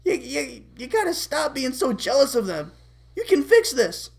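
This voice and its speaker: A woman's voice: sits high, big pitch swings, moderate pace, pitched above the performer's own voice. A woman voicing a small, scolding shoulder angel who pleads impatiently in a cartoon-style story. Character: scolding little shoulder angel, moral but impatient boy.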